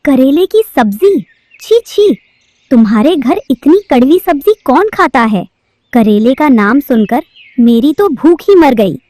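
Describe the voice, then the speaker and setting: A woman's voice: high voice, very animated, measured pace, dark tone, dry recording, exaggerated voice. A woman voicing a bossy, greedy female crow teacher in a cartoon, with a scolding manner. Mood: disgusted. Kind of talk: cartoon voice acting.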